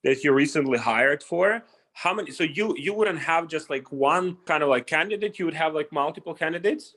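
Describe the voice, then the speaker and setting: A man's voice: fairly high pitch, fast, fairly bright tone. A man hosting a casual interview, asking long rambling questions packed with filler words. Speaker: interview host.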